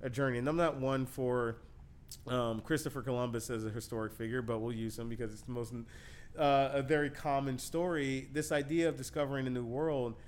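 A man hosting a casual conversation, thinking out loud and asking questions, often laughing. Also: medium pitch, fairly even intonation, brisk, clear, resonant tone. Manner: reflective, laughs often, uses "like" and "right?". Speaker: podcast host.

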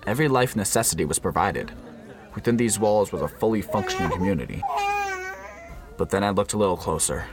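A man playing an uneasy undercover federal agent in a scripted radio play, with a measured, solemn delivery. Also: lowish voice, close to an everyday voice. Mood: grave, reflective. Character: undercover male FBI agent narrating a compound infiltration, uneasy. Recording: faint background noise.

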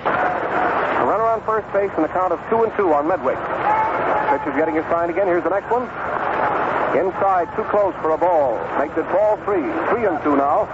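High-pitched 1930s radio baseball announcer, man. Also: normal speed. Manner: clipped, factual pitch-by-pitch calling in short phrases. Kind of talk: 1930s live radio sports commentary. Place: broadcast position in an outdoor stadium. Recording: noisy, muffled 1930s radio broadcast.

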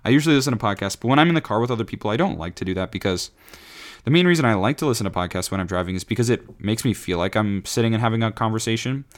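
A man in his twenties hosting his own podcast, rambling casually with lots of fillers and restarts, his voice raspy from singing. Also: fairly low pitch. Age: 20s. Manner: rambling, self-correcting, frequent fillers. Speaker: podcast host. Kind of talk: rambling solo podcast chat.

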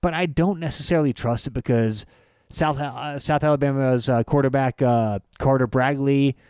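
A man talking through betting picks in a loose, halting way, often stopping to restart his thought. The voice comes through smooth and close, with a dry sound. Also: medium pitch, steady tone, a little mellow, no echo. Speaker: podcast co-host. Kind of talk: casual sports betting chat.

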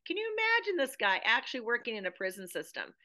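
A woman from the United States, an expressive podcast guest discussing drama conversationally and with emphasis. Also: on the high side, fast talker.

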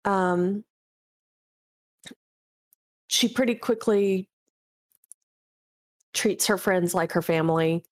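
A woman with a cold, sniffly, talking casually and haltingly about her tabletop characters, clearing her throat often. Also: mid-range voice. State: sick, sniffly. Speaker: podcast guest.

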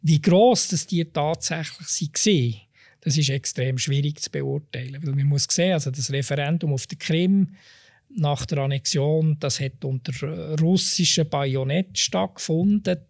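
A Swiss-accented guest with a gravelly voice, pitched in the middle range. Their answers come slowly and hesitantly, full of fillers and restarts. The sound is close and dry. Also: a little mellow, no echo. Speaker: expert guest. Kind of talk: interview answers.

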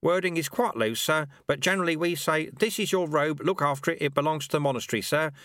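A man's voice: fairly high pitch, expressive, a bit bright, no echo, clean studio sound, pitched above the performer's own voice. A man doing a fawning ancient monk sweeper. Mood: eagerly servile. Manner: obsequious, fawning, brisk. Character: ancient wiry male monk sweeper, eager and sly. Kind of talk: audiobook character voice.

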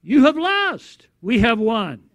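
A man's voice: very high voice, big pitch swings, slow, fairly bright tone, no echo, dull audio, pitched above the performer's own voice, exaggerated voice. A man voicing a triumphant divine lion in a sermon, proclaiming victory in a chant.

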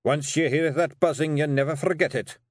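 A man's voice: fairly high pitch, steady tone, fairly quick, no room sound, studio quality, pitched above the performer's own voice, natural voice. Man doing emphatic little Scottish fairy warrior. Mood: earnest, grave. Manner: blurting, emphatic. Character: earnest, alarmed rough little fairy warrior. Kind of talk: audiobook character voice.

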